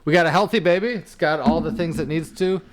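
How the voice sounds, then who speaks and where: A man's voice: on the high side, fast. A young man co-hosting a podcast, chatting casually and bluntly with friends, joking and swearing freely. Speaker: podcast co-host.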